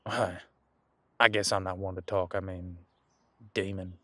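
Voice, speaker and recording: An easygoing ancient demon detective played by a man talks in a low voice, close and dry. He muses in a folksy, laid-back way, as if chatting with a companion in an audio roleplay. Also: flat delivery, measured pace, a bit bright, no room sound. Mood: relaxed, musing. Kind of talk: conversational one-sided audio roleplay.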